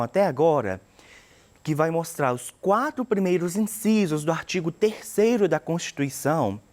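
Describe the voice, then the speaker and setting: A woman's voice: mid-range voice, darkish tone. A Brazilian woman teacher giving a recorded lesson, reading out a text and explaining it, often checking in with her students. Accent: Brazilian Portuguese.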